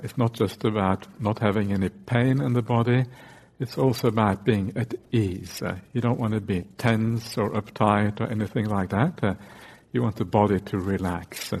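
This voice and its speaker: A man teaching guided meditation in short, unhurried phrases, often broken by hesitant "uh"s. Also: lowish voice, creaky, darkish tone.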